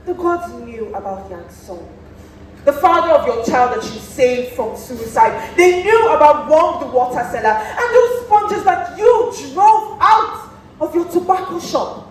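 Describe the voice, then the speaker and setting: A woman's voice: high voice, lively intonation, unhurried. A Nigerian woman acting a stern, proud, accusing priestess in a stage play, with an imperious, declamatory delivery. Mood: accusing, scornful. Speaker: student stage actor. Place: theatre stage, recorded from a distance. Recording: very echoey theatre, distant mic, faint noise.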